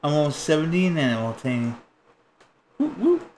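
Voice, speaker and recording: A man's voice: fairly high pitch, huge pitch swings, moderate pace, a little mellow, a little hiss or hum. A man streaming a video game, chatting casually with crude, joking banter while he plays. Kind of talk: casual gaming chat.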